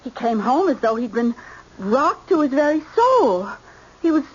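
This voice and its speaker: A woman's voice: sits high, big pitch swings, normal speed, husky, exaggerated voice. An actress playing an anxious, pleading middle-aged American wife and protective mother in an old-time radio drama. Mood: worried, pleading. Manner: earnest, fretful. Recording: dull old radio broadcast.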